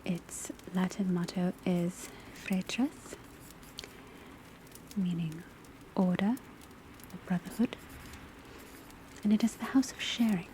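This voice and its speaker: A woman acts out a calm witch school divination professor in an ASMR classroom roleplay. The voice is soft, mellow and mostly whispered, giving the lesson slowly and deliberately. A faint patter of rain sits behind it. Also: lowish voice, slight background noise, rough recording. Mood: calm, instructive. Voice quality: soft, breathy, largely whispered. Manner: measured, deliberate, instructive. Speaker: teacher lecturing a class. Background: faint patter of rain on rooftop.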